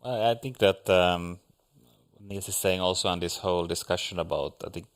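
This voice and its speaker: A man's voice: deep voice, normal speed. A man from Finland speaking as a panelist in a seminar discussion, hesitant with many restarts.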